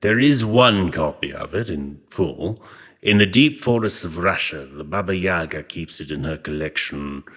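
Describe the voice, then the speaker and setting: Deep, booming ancient god of chaos. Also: male voice, expressive, normal speed, a bit bright. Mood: knowing. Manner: theatrical, grandly sardonic, grumbling. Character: ancient trapped Egyptian chaos god, petulant and wry. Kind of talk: audio drama acting. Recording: long cavernous reverb on the voice.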